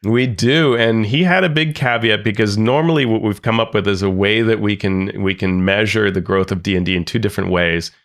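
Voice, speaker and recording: A man co-hosting a podcast, chatting quickly and agreeably and laughing easily. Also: on the low side, fairly bright tone. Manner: quick, affirming, laughs readily. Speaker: podcast co-host. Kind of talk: casual analytical chat.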